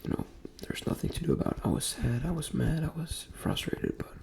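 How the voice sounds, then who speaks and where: A young man from Denmark speaking soft, breathy ASMR in a gentle near-whisper, repeating phrases as he talks in a personal, motivational way. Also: fairly high pitch, sing-song, fairly quick, background noise. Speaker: ASMR creator and host.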